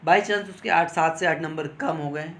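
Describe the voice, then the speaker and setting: A man with a slightly high voice talks like a coaching teacher. He explains things informally and instructively, pausing to check in with his listeners. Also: fairly bright tone. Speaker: exam coaching teacher advising candidates. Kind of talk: informal explanatory talk on exam cut-offs.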